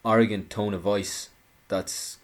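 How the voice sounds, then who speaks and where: Low-voiced man, indignant commentary. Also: flat delivery, measured pace. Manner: indignant, sarcastic, with many restarts. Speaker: political commentator. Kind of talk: monologue commentary.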